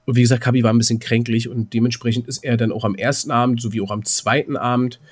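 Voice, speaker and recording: A man's voice: medium pitch, fairly even intonation, quick pace, breathy edge. A man co-hosting a casual, rambling podcast, often stammering and repeating words with a jokey, teasing manner. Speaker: podcast co-host.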